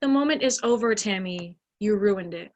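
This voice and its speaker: A young woman in an amateur stage play, acting a bossy high school bully with exaggerated mock sympathy. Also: animated, moderate pace, slight background noise, natural voice. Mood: exasperated. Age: young adult. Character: snide, bossy high school mean girl.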